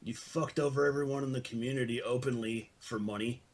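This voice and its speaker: Husky man arguing bluntly. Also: mid-range voice, steady tone, brisk. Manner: accusatory, blunt. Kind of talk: heated argument in a voice chat.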